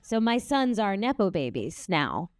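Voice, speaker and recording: Woman actor, chatty and self-mocking, smooth voice, dry close mic. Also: sing-song, unhurried, dry recording. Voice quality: naturally high voice, kept lower on purpose. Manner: bubbly, self-deprecating, chatty. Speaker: actor on a panel. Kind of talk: casual panel Q&A talk. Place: live event stage. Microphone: handheld mic held close.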